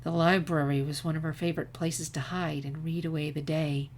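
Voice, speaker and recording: A woman's voice: low voice, little pitch movement, brisk, slight background noise. A woman narrating a written story as a fan fiction reader.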